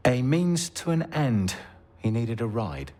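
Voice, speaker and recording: An actor playing a measured, dry intelligence officer giving a briefing in a video game cutscene. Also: male voice, mid-range voice, very animated, warm tone. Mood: dry, thoughtful. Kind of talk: cinematic video game acting.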